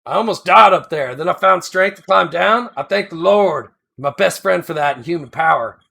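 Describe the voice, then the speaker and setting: A man's voice: sits high, expressive, moderate pace, gravelly, sharp tone, full character voice. A man voicing a humble, sentimental older builder who tells his story with rustic, backwoods grammar. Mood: rueful. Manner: emotional, plainspoken storytelling. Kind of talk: first-person character reading.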